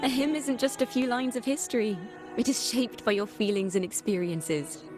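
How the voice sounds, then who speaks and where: Woman acting a graceful young bard lady from a game, highish voice, encouraging n poetic, music playing behind. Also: lively intonation, normal speed, natural voice.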